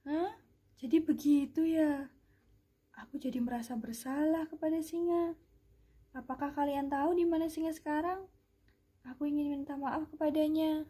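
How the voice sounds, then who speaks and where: A woman's voice: high-pitched, steady tone, normal speed, long pauses, mellow, natural voice. A young woman voicing an indignant, doubting rabbit in a puppet-show fable, with plaintive, insistent questioning. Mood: remorseful. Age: young adult.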